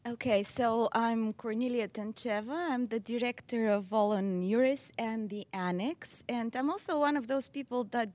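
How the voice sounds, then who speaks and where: Chatty woman, higher voice. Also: normal speed, few pauses, darkish tone. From Bulgaria. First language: Bulgarian. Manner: chatty, self-deprecating, laughs often. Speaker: library director. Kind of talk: self-introduction at a staff gathering.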